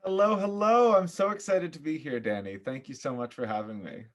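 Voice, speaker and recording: A man speaking as a podcast guest in casual, reflective conversation, earnest and thoughtful with halting phrasing. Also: on the high side. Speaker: podcast guest, theater director and voice coach.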